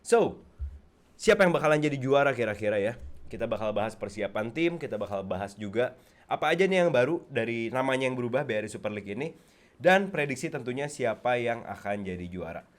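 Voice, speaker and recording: A male podcast host who talks very quickly in colloquial Jakarta Indonesian. His pitch sits at an average level for a man, and his delivery is chatty and slangy, like casual football talk among friends. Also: lively intonation.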